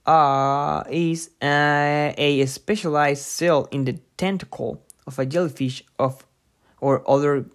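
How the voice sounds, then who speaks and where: Slow-paced, halting podcast host. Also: medium pitch. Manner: halting, thinking aloud, repeating hard words. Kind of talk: informal lesson read from a book with personal asides.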